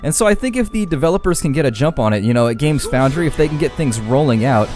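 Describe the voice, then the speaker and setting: A man's voice: a little high, lively intonation, quick pace. A man giving casual, chatty let's-play commentary on a game, with folksy slangy phrasing. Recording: game music behind the voice. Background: game music.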